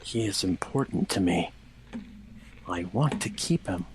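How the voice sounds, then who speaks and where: A man voicing a brooding, possessive cartoon hedgehog antihero in a slow, hushed, intense fan reading. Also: deep voice, animated, slight background noise. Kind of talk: fan fiction dramatic reading. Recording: music behind the voice.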